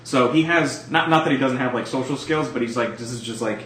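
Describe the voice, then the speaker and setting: A man's voice: medium pitch, fairly bright tone, slight background noise. Rambling man in an echoey room. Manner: halting, rambling, filler-heavy. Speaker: tabletop game player. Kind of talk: improvised casual character description. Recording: echoey room, music behind.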